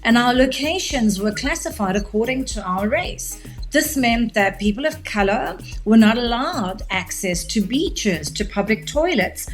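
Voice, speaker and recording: A middle-aged woman, a media executive speaking as a podcast guest, giving a long, measured, articulate account of her background. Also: a little high, lively intonation, faint music behind, poor audio. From South Africa. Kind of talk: podcast interview, long storytelling answer.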